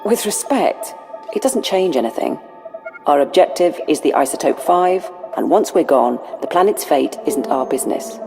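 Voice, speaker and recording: A pragmatic imperial agent in a game cutscene. She speaks in a low, fry-edged voice with brisk, sardonic cool. Music is clearly audible underneath. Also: lively intonation. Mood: firm, cool. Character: wry, pragmatic, cold-blooded female imperial agent. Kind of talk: video game cutscene acting.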